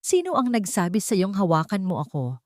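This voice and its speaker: A woman's voice: mid-range voice, wildly swooping pitch, fairly quick, no echo, studio quality. Woman voicing a bitter, venomous rich man. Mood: bitter anger. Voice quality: hoarse.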